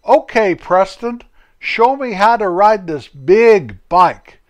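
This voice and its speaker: A man doing a shy, gentle eight-year-old boy. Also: high-pitched, animated, slow.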